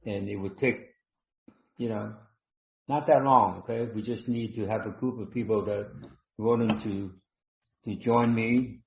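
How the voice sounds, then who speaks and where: A man hosting a live online healing session, talking slowly and instructively, repeating words with many ums. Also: lowish voice, steady tone, vocal fry. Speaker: webinar host and healer. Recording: dull roomy online call audio.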